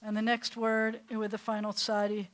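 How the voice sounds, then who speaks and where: A woman rabbi teaching a beginners' Hebrew class in a loose, chatty way, often restarting her sentences and sounding words out slowly. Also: medium pitch, completely flat delivery. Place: classroom or congregation hall.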